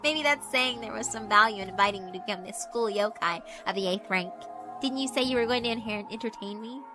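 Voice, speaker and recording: A woman's voice: a little high, over music, natural voice. A woman voicing a cruel, mocking female school spirit in a horror visual novel, haughty and theatrical. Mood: gleeful, mocking. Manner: taunting, haughty, drawn-out theatrical delivery.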